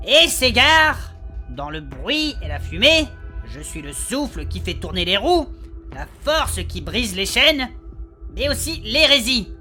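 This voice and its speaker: A woman performs a hapless but affectionate male adventurer for a comic fantasy audio drama. The voice is high and bright, earnest and a little defensive, with music behind it. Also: sing-song, takes it slowly, full character voice. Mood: solemn reading.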